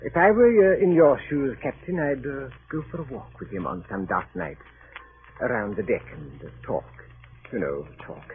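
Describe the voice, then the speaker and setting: A man's voice: medium pitch, expressive, normal speed, phone-quality sound, close to an everyday voice. A man playing a fussy, patronizing British consul with a hesitant, urbane drawl, in 1930s radio drama style on a scratchy old recording. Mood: slyly insinuating. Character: smug, fussy British consul, cynical and evasive diplomat.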